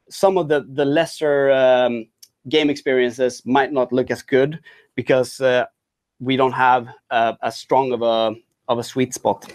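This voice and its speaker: A man giving a conference talk on a product his team built, speaking in halting sentences with many restarts and fillers. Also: medium pitch. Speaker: tech talk presenter.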